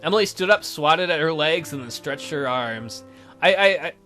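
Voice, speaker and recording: Male gaming host, playful, high and bright, narrating a visual novel with joking asides. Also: animated, moderate pace, faint music behind. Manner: playful, jokey. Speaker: let's play host. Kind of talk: visual novel read-aloud with joking commentary.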